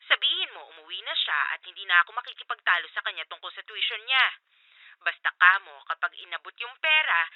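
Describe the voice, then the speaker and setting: A nagging aunt on the phone. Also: female voice, mid-range voice, dry recording. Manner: pleading, nagging. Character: anxious middle-aged aunt on the phone. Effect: phone line. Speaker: aunt calling her nephew. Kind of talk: Filipino radio drama acting. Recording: muffled phone-line sound.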